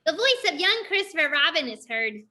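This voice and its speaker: A woman's voice: sits high, sing-song, moderate pace, sharp tone. A woman reading stage directions aloud as scripted narration for a dramatized play reading.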